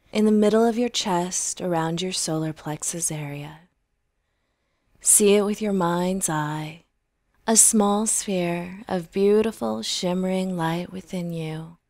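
Slow, soothing woman guiding meditation. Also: lowish voice, fairly even intonation, no echo, studio quality. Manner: soothing, unhurried, gentle, softly trailing phrases. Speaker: meditation guide. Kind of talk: guided meditation narration, scripted.

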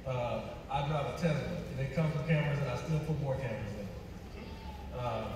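A man speaking on a panel, giving practical advice in a measured, explanatory way. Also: mid-range voice. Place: on stage in a large auditorium. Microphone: recorded from the audience seats, far from stage. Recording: distant, echoey theater hall with noise.

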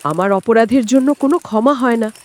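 A woman's voice: a little high, brisk, a little mellow, close to an everyday voice. A woman voicing a guilty, humbled male crow in a children's cartoon, plaintive and contrite. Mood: ashamed, pleading.

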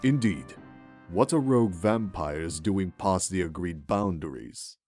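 A man's voice: low voice, expressive, a little slow, darkish tone, soft background music. A man playing a stern horned creature in authority at a school, formal and composed, in a cartoon dub.

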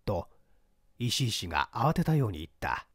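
A man reading a classic mystery story aloud as narrator, measured with dramatic asides. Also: on the low side, very animated, fairly bright tone, slightly rough, no echo, clean studio sound. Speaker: reader.

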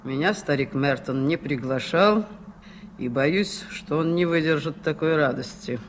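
A man's voice: fairly high pitch, unhurried, natural voice. A performer with a voice heard as male, playing a proud, scornful believer in the occult in a Soviet radio play, speaking with irony. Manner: scornful, ironic. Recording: noisy 1980s radio play recording.

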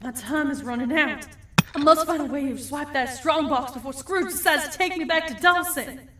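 A woman's voice: sits high, moderate pace, fairly bright tone. A woman voicing a greedy, feisty female cartoon duck in a fan comic dub, theatrical and scornful. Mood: scheming. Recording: roomy, distant home recording over music.

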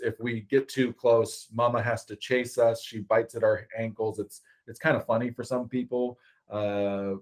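A man with a loud, deep voice presents on a video call from a small room. He talks in an easygoing way, filling pauses with uh. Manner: relaxed, many uh fillers. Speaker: ranger and outdoor educator. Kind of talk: webinar presentation.

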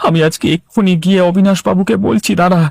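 A man's voice: high voice, steady tone, fast, creaky, a little mellow, dry recording, studio quality. A man voicing a dejected but patient village fisherman in a cartoon, with a plaintive, complaining manner. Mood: hurt, indignant. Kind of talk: Bengali cartoon voice acting.

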